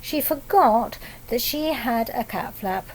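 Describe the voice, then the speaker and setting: Slow-paced woman reading a children's story. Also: a little high, lively intonation, low-quality recording. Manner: simple explanatory asides and questions for young children. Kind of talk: read-aloud picture book storytelling with asides.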